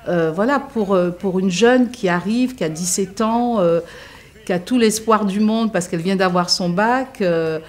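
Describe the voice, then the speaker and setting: A woman from French Guiana giving a personal testimony in an interview, speaking in a hesitant, reflective way with frequent pauses, fillers and restarts. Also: mid-range voice.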